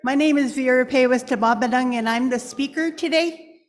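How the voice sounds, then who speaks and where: A woman's voice: sits high, moderate pace. A woman chairing an assembly, introducing agenda items and presenters with hesitant fillers. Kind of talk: chairing a meeting. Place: large assembly hall. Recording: long hall reverb.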